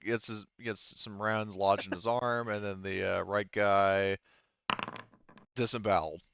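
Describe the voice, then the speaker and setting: A man narrating a tabletop roleplaying game as game master, in a casual, halting, informal way. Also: on the low side, little pitch movement, vocal fry, highs cut off, a crowd in the background.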